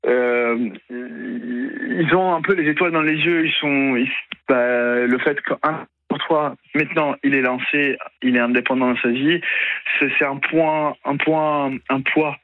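A man's voice: mid-range voice, steady tone, a little slow, no echo. A young man in his twenties, a radio interview guest, speaking hesitantly with many filler sounds and restarts. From Italy. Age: 20s. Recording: tinny phone line.

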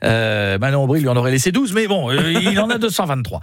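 A man's voice: mid-range voice, big pitch swings, a little fast, no room sound, studio quality. A man hosting a radio show, bantering through light news in a joking, mock-dramatic way. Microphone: close studio microphone.

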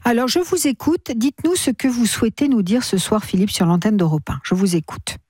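A woman's voice: fairly high pitch, fairly quick, a little mellow, slightly rough, dry recording, studio quality. A woman hosting a late-night radio phone-in, welcoming and attentive toward her callers. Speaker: call-in show host. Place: radio studio.

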